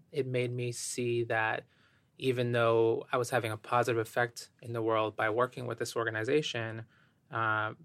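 A young man from Ecuador speaking as a podcast guest, soft-spoken and thoughtful, with many fillers as he reflects. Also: medium pitch, vocal fry, no echo. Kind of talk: reflective interview talk.

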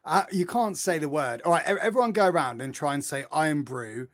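A man's voice: a little high, animated, fairly quick. A man chatting casually on a podcast in a playful, rambling manner. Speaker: podcast panellist. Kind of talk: casual chat.

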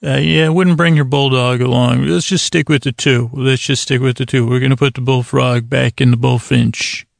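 A man with a very creaky, gravelly voice hosts a sleep podcast in a close, dry recording. He rambles in a halting, improvised way, wandering off on whimsical tangents and leaving thoughts half finished. His pitch sits in an ordinary middle range for a man. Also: fairly even intonation, dry recording. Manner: meandering, halting, trails off mid-thought; mildly whimsical, acts out imagined exchanges. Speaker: podcast host.

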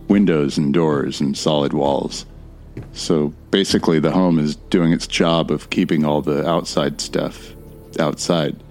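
A man acts out a wry male narrator in an audio drama with a very low voice. He rambles through his inner monologue and corrects himself as he goes, with faint music behind him. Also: a little mellow. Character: wry man narrator exploring a smoke-filled house.

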